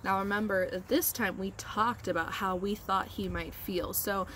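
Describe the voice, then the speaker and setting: A woman's voice: mid-range voice, fairly even intonation, normal speed, a bit bright, slight background noise. A woman teacher reading a storybook aloud and talking to young children in simple, encouraging phrases.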